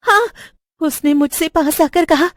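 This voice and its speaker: A woman's voice: sits high, unhurried, fairly bright tone, dry recording, clean studio sound, pitched above the performer's own voice. A woman voicing an anxious, pleading young woman in a horror cartoon story, prone to stammering and repeating her words. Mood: panicked pleading.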